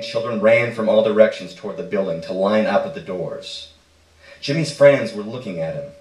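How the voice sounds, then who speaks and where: A man reading a literary short story aloud at a live stage event. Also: fairly low pitch. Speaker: story reader. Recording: echoey room, distant microphone.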